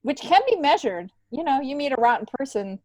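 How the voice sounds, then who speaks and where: A woman's voice: sits high, very animated, a little fast, darkish tone, no echo, poor audio. A middle-aged woman, a podcast guest, telling stories in a casual, chatty, meandering way. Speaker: podcast guest, stand-up comedian. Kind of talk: casual conversational storytelling.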